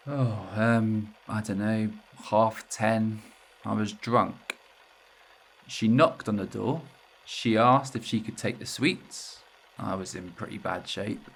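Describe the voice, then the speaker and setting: An actor playing a bitter, self-loathing widowed ex-convict confessing to murder in an audio drama, with halting delivery. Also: male voice, fairly low pitch, fairly even intonation, vocal fry, slightly rough, exaggerated voice, low-quality recording. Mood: subdued, ashamed.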